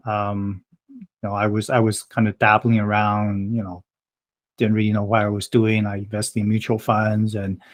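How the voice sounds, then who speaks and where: A man in his thirties, from Taiwan and raised in Canada, answering interview questions casually with lots of hesitation and restarts. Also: on the low side. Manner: hesitant, many fillers and restarts. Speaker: guest, blogger.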